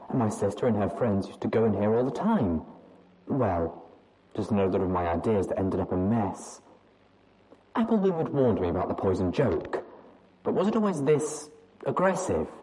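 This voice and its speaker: A woman's voice: very deep voice, big pitch swings, moderate pace, mellow, slight background noise, poor audio. A woman reading aloud as an anxious young adult mare pony who rambles hesitantly and doubts herself. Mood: confiding. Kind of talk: audiobook reading of fan fiction.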